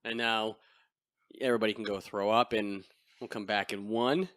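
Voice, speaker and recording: A man with a deep voice hosting a casual podcast chat, crude and self-deprecating. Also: fairly even intonation, moderate pace, a bit bright, no room sound, clean studio sound. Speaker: podcast host. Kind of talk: casual chat over beers.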